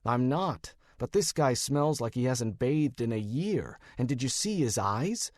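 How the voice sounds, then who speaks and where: A wisecracking male detective from an urban fantasy audiobook, acted by a man. He is sarcastic and defensive, his pitch jumping about, in a dry, close recording. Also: expressive, unhurried, a little mellow, no room sound, natural voice. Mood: incredulous.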